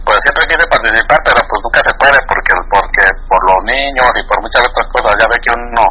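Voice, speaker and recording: A man from Mexico City phones in to a radio show, speaking in a Mexican accent at an ordinary pitch. He hesitates and restarts often, padding with fillers. The call comes through a phone line over clear background noise. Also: quick pace, sharp tone. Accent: Mexican Spanish. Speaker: caller.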